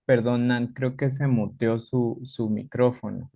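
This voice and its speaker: A man interviewing a guest on a video call, speaking gently and softly with hesitant fillers. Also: mid-range voice, fairly even intonation, measured pace, vocal fry, no echo, clean studio sound. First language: not a Mayan language speaker. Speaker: interviewer, host. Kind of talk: polite interview questions.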